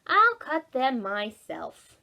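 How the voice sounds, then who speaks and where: A woman reading a picture book as a determined, indignant little girl, speaking emphatically and deliberately. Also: high-pitched, huge pitch swings, creaky, sharp tone, heavily acted. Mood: determined, undaunted. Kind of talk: picture-book read-aloud.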